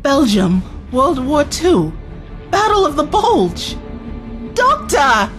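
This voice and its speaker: Woman acting feisty, animated female time traveller. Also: sits high, slow pace, music in the background, heavily acted. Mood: alarmed. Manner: indignant, sardonic, outspoken. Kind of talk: audio drama acting.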